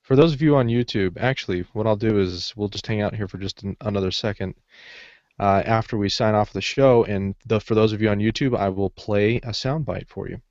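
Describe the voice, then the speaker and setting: A man's voice: on the low side, a little fast, darkish tone, no echo. A man chatting casually as a podcast co-host. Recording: dull, dry lo-fi online-call audio.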